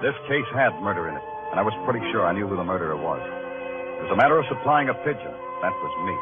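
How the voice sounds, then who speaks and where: An American actor playing a world-weary, wry New York police detective in a 1950s radio crime drama, talking in clipped, moody noir style. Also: male voice, low voice, expressive, fast talker. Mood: brooding, wry. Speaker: narrator and lead actor. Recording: tinny old radio broadcast, music behind.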